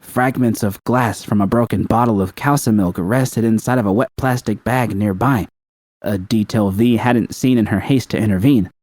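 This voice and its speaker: A man's voice: lowish voice, moderate pace, darkish tone, dry recording. A man reading scripted fiction as an audiobook narrator.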